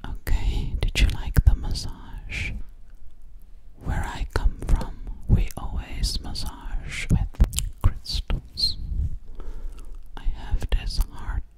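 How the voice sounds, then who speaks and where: A young woman performs ASMR personal attention in a soft, breathy whisper. She speaks extremely slowly and gently, very close to the microphone, soothingly repeating her words. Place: small indoor room. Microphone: microphone right at the mouth.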